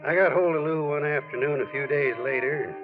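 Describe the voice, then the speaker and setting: A man's voice: fairly high pitch, fairly quick, close to an everyday voice. A man playing a wry, folksy sportswriter in a 1940s radio drama. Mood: reflective. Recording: tinny old radio recording with music.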